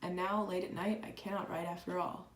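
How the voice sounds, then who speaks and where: A woman playing an earnest, proud and candid letter writer in a dramatized reading, speaking reflectively and deliberately. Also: fairly low pitch, flat delivery, natural voice. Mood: confessional. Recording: echoey room with clear background noise.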